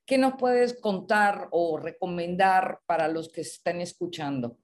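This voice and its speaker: A woman's voice: lowish voice, a little slow, darkish tone. A woman hosting a podcast interview, asking questions in a conversational style.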